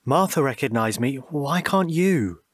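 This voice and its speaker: An actor playing a gentle, anxious husband in a radio drama, speaking in a hesitant, halting way. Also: male voice, medium pitch, lively intonation, no echo. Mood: pleading, halting. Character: gentle, patient, anxious husband pleading with his partner.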